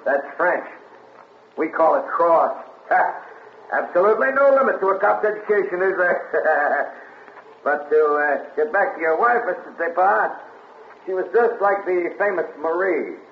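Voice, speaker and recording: An actor in a 1940s radio play voicing a wry police captain who questions suspects in a sly, teasing drawl. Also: male voice, high-pitched, lively intonation, unhurried, slight background noise. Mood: probing. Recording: tinny, echoey 1940s radio broadcast.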